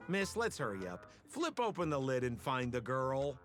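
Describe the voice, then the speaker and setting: An actor playing an excitable, slippery young male rogue in a video game, exclamatory and theatrical in his delivery. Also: medium pitch, moderate pace, lightly acted. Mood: startled, brash. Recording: game music behind the voice. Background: game music.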